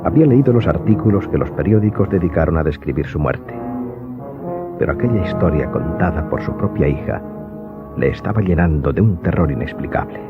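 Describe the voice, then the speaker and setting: A man's voice: low-pitched, expressive, fairly quick. A man narrating a scripted dramatic audiobook. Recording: dull lo-fi audio under music.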